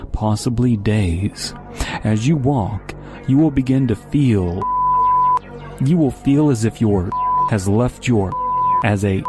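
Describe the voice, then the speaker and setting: Slow, low-voiced man narrating horror. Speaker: story narrator. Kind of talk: scripted horror story narration. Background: loud music.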